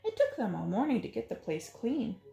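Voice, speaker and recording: A woman's voice: a little high, huge pitch swings, moderate pace, vocal fry, warm tone. A woman reading a rhyming picture book aloud to children with dramatic, sing-song phrasing, in a roomy recording. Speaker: children's story reader. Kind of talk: expressive picture-book read-aloud in rhyme. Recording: roomy echo, faint music.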